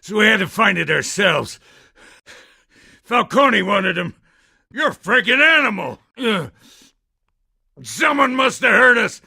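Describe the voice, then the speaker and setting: An actor playing a terrified but defiant criminal thug in a video game, frantic and pleading as he shouts. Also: high voice, big pitch swings, a little slow, vocal fry, pauses often, slightly rough, heavily acted.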